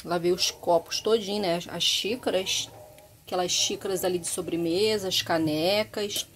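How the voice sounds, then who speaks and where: A woman's voice: on the low side, fairly even intonation, moderate pace, a bit bright, a little hiss or hum. A woman in her thirties from Brazil chatting casually in voice-over about tidying her kitchen, repeating words and adding tag questions. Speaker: home organization vlogger.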